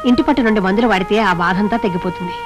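A woman's voice: mid-range voice, racing, music in the background, lightly acted. An actress playing a caring mother-in-law in a film, reassuring and soothing.

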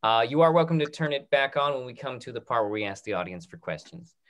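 A man in his thirties moderating a talk-back on a video call in a casual, halting way, often repeating his words. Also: medium pitch, a bit bright. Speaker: host of a theatre talk-back.

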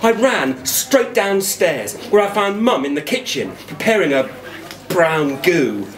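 Middle aged guy reading kids book out loud, theatrical, slow w/ dramatic pauses, echoey room. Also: high-pitched. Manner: expressive, deliberate, dramatic pauses. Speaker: actor reading a children's book. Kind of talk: theatrical storybook narration in a schoolboy's first person. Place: wooden-beamed indoor venue at a live reading. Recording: echoey room, live event.

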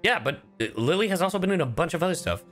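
A man streams a game in a bright, forward voice with very lively pitch swings. He talks casually and animatedly to his audience, swearing freely, with game music playing behind him. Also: very fast. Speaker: streamer and voice actor. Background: video game music.

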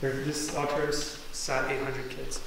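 A man's voice: mid-range voice, flat delivery, moderate pace, roomy sound, background noise. A man leading friends through an abandoned school, explaining each room in casual chat with many fillers. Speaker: local guide on an urban exploration. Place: large abandoned school, indoors.

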